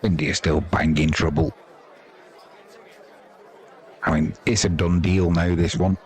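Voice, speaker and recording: A man's voice: sits low, steady tone, normal speed, darkish tone. A man giving casual live cricket commentary in a wry, teasing, chatty manner. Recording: crowd noise behind the voice.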